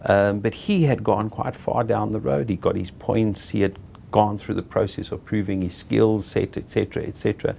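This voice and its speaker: A middle-aged South African man being interviewed, telling his own story in an unhurried, halting way and often correcting himself. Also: on the low side, fairly even intonation, vocal fry, mellow, a little hiss or hum. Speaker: interviewee, property director. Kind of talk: reflective personal storytelling.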